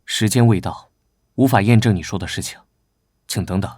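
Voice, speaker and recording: A man playing a guarded, serious young knight vice-captain, terse and measured, in an animated comic dub. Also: lowish voice, dry recording, clean studio sound, lightly acted. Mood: calm, serious.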